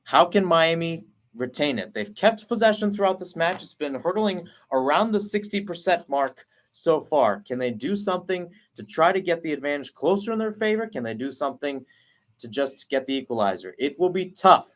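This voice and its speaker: A man's voice: fairly high pitch, animated. A man giving a live play-by-play call of a soccer match, rattling off player names and lifting his voice when the play heats up. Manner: rapid running call, rising on chances. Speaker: play-by-play commentator.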